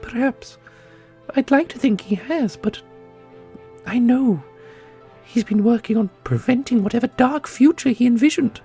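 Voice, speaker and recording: A woman playing a grave, caring custodian who mourns a lost brother, speaking formally and haltingly in a fantasy audio roleplay. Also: fairly high pitch, mellow. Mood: resolute, grave. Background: orchestral music.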